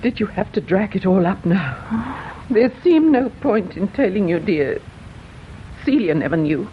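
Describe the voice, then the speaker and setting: An older actress playing a mother who haltingly guards an old family secret in a radio drama. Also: medium pitch, sing-song, slight background noise. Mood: strained. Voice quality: hesitant, faltering. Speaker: witness. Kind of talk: 1970s-style radio drama acting. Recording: dull lo-fi radio recording.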